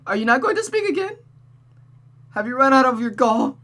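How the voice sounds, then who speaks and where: A woman's voice: sits high, sing-song, moderate pace, a bit bright, voice pushed up, exaggerated voice. A woman voicing a desperate, sobbing young woman who pleads and stammers, read from a visual novel. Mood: anguished, crying. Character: Rosa, sobbing desperate young woman devoted to cruel Mother. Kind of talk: visual novel character reading.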